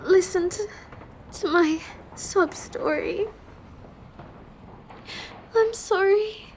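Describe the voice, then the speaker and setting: Shy young villainess, played by a woman: high-pitched, unhurried, apologetic and sweetly helpless, for intimate audio roleplay. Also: slight background noise, full character voice. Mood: tearful, distressed. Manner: halting, apologetic, soft-spoken and sweet.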